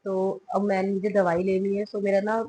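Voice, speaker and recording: A young woman, a mother, chatting to the camera at home in a casual family vlog and coaxing her toddler, her voice hoarse from a cold. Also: medium pitch, little pitch movement, moderate pace, dark tone, slight background noise, low-quality recording. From Himalayan hill region of India.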